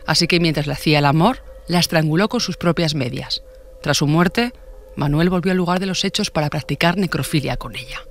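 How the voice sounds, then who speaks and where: A man's voice: a little high, brisk, fairly bright tone, slight background noise. A man with a Spanish accent narrating a scripted true crime podcast.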